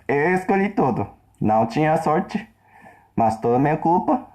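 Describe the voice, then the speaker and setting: A Japanese man speaking in halting learner's speech, searching for words as he gives a personal account, with faint music behind. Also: on the high side, lively intonation, darkish tone. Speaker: interviewee in a played video.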